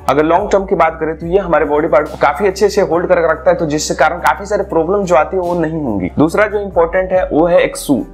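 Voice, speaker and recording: A young man chatting about gym gear in a casual review vlog, speaking persuasively into a lapel mic in a small room. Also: fairly high pitch. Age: young adult. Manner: chatty and persuasive, mixing English words into his talk. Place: small indoor room, seated on a sofa.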